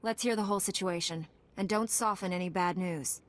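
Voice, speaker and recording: An actress playing a confident, brisk Jedi knight heroine, direct and resolute, in a video game cutscene. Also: female voice, lowish voice, a little hiss or hum, natural voice. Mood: firm.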